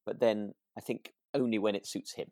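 A man chatting casually as co-host of a relaxed podcast. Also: fairly low pitch, moderate pace, dry recording, studio quality. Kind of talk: relaxed casual chat about a radio drama.

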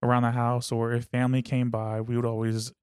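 Laid-back man with a smooth voice. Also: mid-range voice, monotone, brisk, a little mellow, dry recording, studio quality. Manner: laid-back, swears casually, says "dude". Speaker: podcast host. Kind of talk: casual podcast chat.

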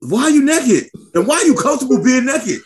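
A man's voice: very high-pitched, sing-song, a little fast. A man co-hosting a casual podcast, teasing his friend bluntly with crude banter. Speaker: podcast co-host. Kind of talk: casual chat.

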